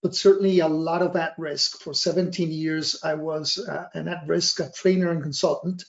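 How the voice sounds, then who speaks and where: A middle-aged man with a Costa Rican accent, a native Spanish speaker, explaining slides as a consultant in a wordy, halting way with emphatic stresses. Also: a little high, gravelly, a little mellow. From Costa Rica. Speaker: risk analysis trainer and consultant giving a presentation. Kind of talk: slide presentation, semi-scripted explanation. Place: small office, indoors. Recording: dull, roomy webcam recording.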